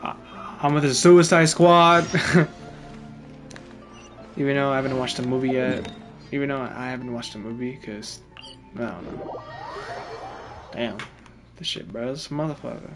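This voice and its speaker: A woman's voice: very deep voice, unhurried, long pauses. A woman giving casual, slangy, sweary reaction commentary while playing a game. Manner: slangy, sweary, muttering asides. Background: game music.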